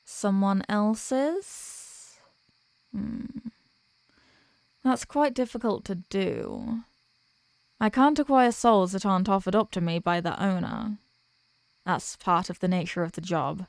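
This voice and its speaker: A woman's voice: mid-range voice, slow, gravelly, long pauses, no room sound. A woman playing a flirtatious female archdemon in a roleplay audio drama, with a coy, smug and teasingly formal manner. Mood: curious, bargaining.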